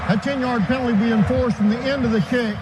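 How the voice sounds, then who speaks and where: A man's voice: very high-pitched, fairly even intonation, quick pace, background noise. A man, a referee, formally announcing penalties over the stadium speakers.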